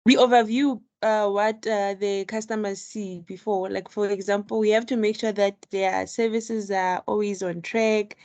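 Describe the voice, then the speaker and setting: A woman student introducing herself in an online class, hesitant and filling pauses with ums and uhs. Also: medium pitch, fairly even intonation, darkish tone. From South Africa. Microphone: video call.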